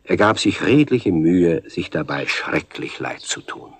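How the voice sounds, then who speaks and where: A man narrating a scripted children's radio play in a husky voice, as a storyteller. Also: deep voice, very animated, a little slow.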